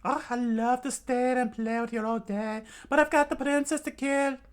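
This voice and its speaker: A man's voice: very high voice, steady tone, moderate pace, smooth, pitched above the performer's own voice. A man voicing a villain who imitates a cute young woman, coy, cooing and affected. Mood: playfully menacing.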